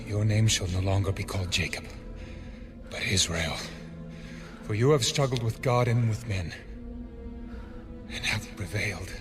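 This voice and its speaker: Man acting low, solemn, commanding divine stranger. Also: measured pace, long pauses, lightly acted. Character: mysterious divine male wrestler, solemn and commanding. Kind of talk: dramatized audio Bible acting. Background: background music.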